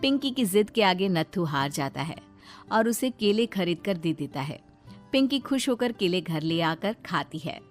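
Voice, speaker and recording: A woman narrating a cartoon story in a steady, even way. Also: on the low side, moderate pace, faint music behind.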